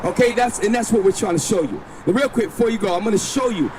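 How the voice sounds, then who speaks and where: A man preaching and teaching scripture outdoors on a city street, talking forcefully and insistently and often repeating himself. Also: high-pitched, fast talker. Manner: forceful, insistent, repeats himself, tells the reader when to read. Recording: noisy outdoor street recording. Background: street noise.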